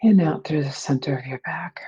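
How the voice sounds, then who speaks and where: A meditation guide with a mellow, breathy voice gently leads listeners through relaxation. The phrasing is soothing and unhurried. The recording sounds slightly dull and lo-fi. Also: medium pitch.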